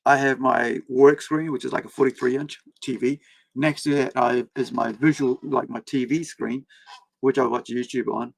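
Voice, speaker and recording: A man's voice: mid-range voice, fairly even intonation, normal speed, vocal fry, no echo. A man born in India whose first language was Hindi, chatting casually in a rambling way with many fillers and restarts on a live stream from his home screen setup. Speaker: comic creator and streamer. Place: home room with several screens.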